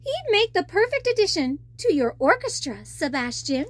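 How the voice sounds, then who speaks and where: A plucky young mermaid princess from a children's storybook, voiced by a woman, high-pitched and kind. She speaks in an optimistic, coaxing way. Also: sing-song, normal speed. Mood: encouraging.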